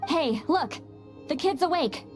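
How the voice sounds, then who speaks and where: High-pitched, straight-talking young woman. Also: lively intonation, unhurried. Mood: excited. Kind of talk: video game voice acting. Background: background music.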